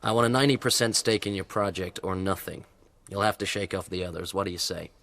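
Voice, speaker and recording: An actor playing a brash, wealthy investor in a radio drama, with a blunt, informal manner. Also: male voice, sits low, steady tone, fast, gravelly, fairly bright tone, dry recording. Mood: confident. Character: brash, wealthy male investor, awkwardly flirtatious deal-maker.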